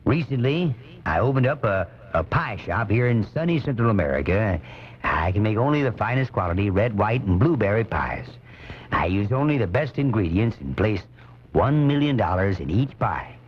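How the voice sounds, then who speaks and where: A man's voice: lowish voice, very animated, moderate pace, breathy edge. A man playing a genial baker in a comic radio play, folksy and a little hesitant as he presents himself, on dull cassette. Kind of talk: radio comedy skit acting. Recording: dull, hissy off-air cassette recording.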